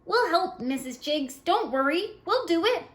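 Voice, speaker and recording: A woman's voice: extremely high pitch, very animated, unhurried, roomy sound, voice pushed up, heavily acted. A woman voicing an eager, helpful young child in a storybook, chirping reassurance. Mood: eager, reassuring.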